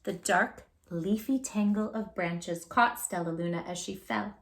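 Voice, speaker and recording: A woman host reading a children's story aloud in an animated, expressive way, with dramatic pauses and drawn-out emphasis. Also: medium pitch, gravelly.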